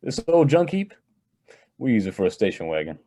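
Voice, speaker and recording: A man's voice: mid-range voice, big pitch swings, fairly quick, dark tone. An actor playing a nonchalant young rich heir in an old-time radio drama, casually boastful about his family's wealth. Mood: casual boasting. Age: young adult.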